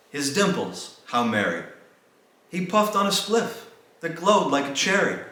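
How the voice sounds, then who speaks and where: A man's voice: fairly high pitch, a bit bright. A man giving a theatrical recital of a rhymed holiday poem, with a dramatic sing-song delivery. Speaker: storyteller reading his own poem. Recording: very echoey, reverberant room sound.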